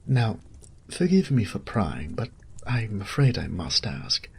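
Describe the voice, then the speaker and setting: A man's voice: on the low side, huge pitch swings, takes it slowly, creaky, slight background noise, full character voice. A man voicing a courteous noble knight captain in a fantasy audio roleplay, speaking in formal, polished phrases and politely probing.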